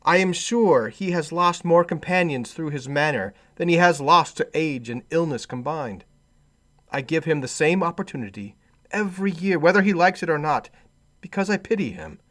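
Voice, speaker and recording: Radio play nephew, male actor: hearty, forgiving, earnest, very lively intonation on a lightish-pitched voice. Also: moderate pace. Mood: earnest, pitying. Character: Scrooge's cheerful, forgiving, good-humored nephew.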